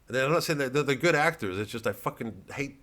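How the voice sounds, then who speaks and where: A man hosting a casual comedy monologue, digressing often, swearing freely and talking in halting, restarted sentences. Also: mid-range voice, lively intonation, fairly bright tone. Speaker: comedian, host.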